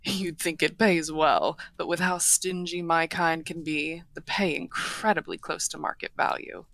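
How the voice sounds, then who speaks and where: Woman playing a low, courteous half-dragon lady. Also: fairly even intonation, creaky, fairly bright tone, heavily acted. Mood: wry, businesslike. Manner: formal, measured, eloquent. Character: courteous, earnest female half-dragon broker seeking peace. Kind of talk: scripted fantasy audio roleplay, addressing the listener.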